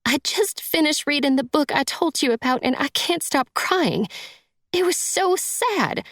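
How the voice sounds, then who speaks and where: A woman's voice: mid-range voice, normal speed, vocal fry, dry recording, studio quality, voice pushed up. A woman voicing a tearful, vain young trophy wife in an audiobook, with a whiny, sniffly delivery. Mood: tearful, distraught.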